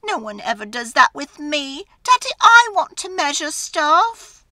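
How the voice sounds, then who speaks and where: Woman doing a loud, bright, whiny girl fork. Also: high-pitched, sing-song, measured pace, no echo, pitched above the performer's own voice, exaggerated voice. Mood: envious, pleading. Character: pleading, childish young girl fork, envious.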